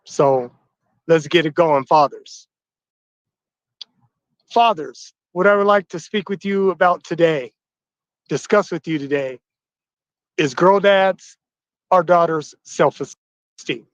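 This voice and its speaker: A man in his fifties greets fathers on a livestream, with a stuffy, blocked-up nose from a bout of flu. His voice sits fairly high for a man, and his welcoming, upbeat delivery comes through dull, dry, lo-fi stream audio. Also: slow pace, long pauses, no room sound. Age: 50s. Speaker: host of a livestream. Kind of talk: livestream address to viewers.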